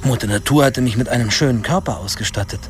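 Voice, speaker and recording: An actor playing a wry, self-important first-person narrator in a radio drama, dry and ironic. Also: male voice, mid-range voice, fast talker, a little hiss or hum, close to an everyday voice. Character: wry male narrator looking at his own youth, self-important.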